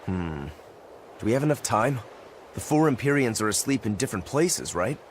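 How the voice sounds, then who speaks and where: A man's voice: medium pitch, sing-song, normal speed, a little hiss or hum, lightly acted. A man playing a wry, easygoing tough fighter in a fantasy video game, talking casually.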